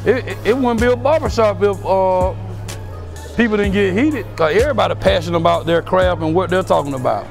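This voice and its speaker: Gravelly high-voiced man. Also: brisk. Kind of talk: casual interview chat. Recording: music clearly behind.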